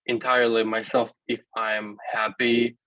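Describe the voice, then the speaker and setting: A man's voice: mid-range voice, little pitch movement, a little slow, no room sound. A man in his twenties from Ukraine, a native Russian speaker, answering on a video-call panel in a hesitant way, with many fillers and restarts. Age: 20s. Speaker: panel guest. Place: small room indoors. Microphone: laptop webcam video call. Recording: dull lo-fi video call audio.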